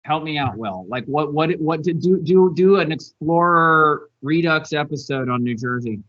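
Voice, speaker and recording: Male interviewer, slightly high voice, casual chat, somewhat dull recording. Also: normal speed, a bit bright. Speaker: podcast interviewer.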